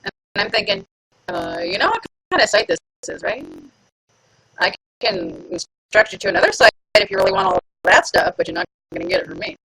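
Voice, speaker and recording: A woman's voice: medium pitch, huge pitch swings, fairly quick, vocal fry, pushed and bright, slightly rough, low-quality recording. A woman hosting a live stream from home, chatting casually and playfully with her viewers and teasing herself. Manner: chatty, playful, self-mocking. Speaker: livestream host.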